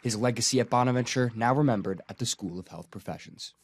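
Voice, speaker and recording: A man's voice: medium pitch, fast talker. A young adult man with an American accent reading scripted narration as a student TV news reporter.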